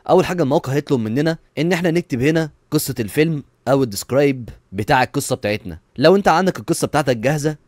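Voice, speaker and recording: A man's voice: fairly high pitch, animated, very fast, hardly any pauses, darkish tone, no echo, clean studio sound. A man with an Egyptian accent giving a casual, enthusiastic and emphatic tutorial voice-over as host.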